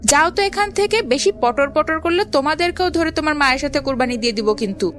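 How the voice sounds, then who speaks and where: A woman's voice: high-pitched, animated, fast, fairly bright tone. A woman playing a curious, stubborn young boy in a children's cartoon, with an earnest, childlike manner. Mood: stern annoyance.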